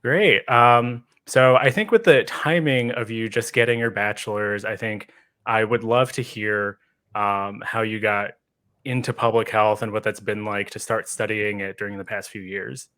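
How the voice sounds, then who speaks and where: Male podcast host, lower register, conversational and thoughtful interviewer. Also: steady tone, a little slow, fairly bright tone.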